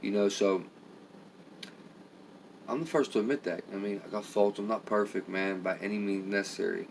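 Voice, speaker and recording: A middle-aged man with a raspy, low voice rambles to his viewers on a live stream, leaning hard on filler phrases. He sounds tired and worn, and a faint background hum sits under the talk. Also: fairly even intonation, moderate pace, poor audio. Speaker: vlogger.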